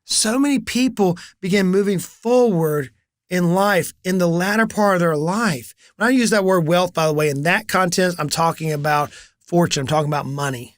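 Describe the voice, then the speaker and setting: A man's voice: high voice, moderate pace, dry recording, clean studio sound. A man in his forties hosting a business coaching talk, telling stories energetically and acting out the conversations he quotes. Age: 40s. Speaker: business coach and channel host. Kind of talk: animated solo talk to camera.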